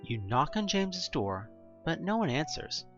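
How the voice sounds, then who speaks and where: A narrator giving a theatrical, expressive reading of a scripted horror story told in the second person. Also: mid-range voice, a little slow, lo-fi sound, faint music behind. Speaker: audio play narrator.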